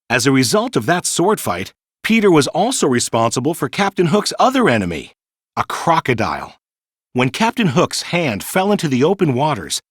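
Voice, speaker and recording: A man reading a children's storybook in an expressive, clearly paced storytelling manner. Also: fairly high pitch, no room sound, clean studio sound. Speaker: narrator. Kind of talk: scripted children's storybook narration.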